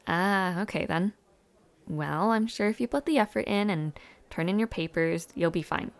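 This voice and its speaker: A young woman playing a friendly, chatty university student in an audio roleplay, speaking casually with hesitant afterthoughts and a creaky voice. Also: fairly low pitch, normal speed, lightly acted. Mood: friendly, helpful. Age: young adult. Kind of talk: intimate audio roleplay, casual one-sided conversation.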